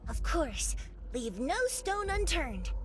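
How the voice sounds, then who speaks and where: A woman's voice: sits high, big pitch swings, takes it slowly, background noise, full character voice. An actress playing a timid, sweet young girl in a video game, speaking in a hesitant, meek way. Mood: plucky. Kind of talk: scripted dramatic game acting. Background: music.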